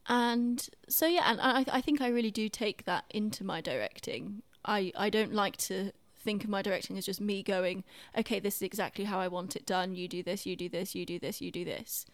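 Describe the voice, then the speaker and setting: A woman's voice: mid-range voice, fairly quick, few pauses, no room sound. A young woman hosting a casual arts chat, speaking informally with self-deprecating hedges, close to the microphone. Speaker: podcast host, theatre director.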